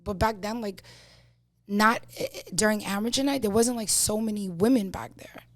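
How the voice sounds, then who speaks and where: A woman in her thirties with a strong New York accent talks in a gravelly, creaky voice. She chats loosely on a podcast and spins her stories with plenty of fillers. The sound is dry and close. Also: mid-range voice, normal speed, no echo. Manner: chatty storytelling, lots of fillers. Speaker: podcast guest, comedian. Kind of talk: casual podcast chat.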